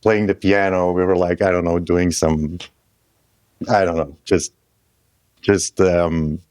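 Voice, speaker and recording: A man in his thirties from Croatia, a guest musician chatting casually in a halting, loose way with restarts. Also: low-pitched, flat delivery, darkish tone, no room sound. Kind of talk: casual interview chat.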